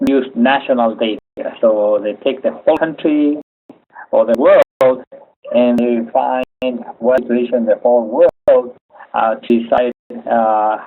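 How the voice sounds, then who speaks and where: A man from Chile giving a webinar lecture, speaking haltingly with many "uh" fillers. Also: mid-range voice, a little hiss or hum. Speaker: engineering lecturer. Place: home office. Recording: tinny phone-like audio.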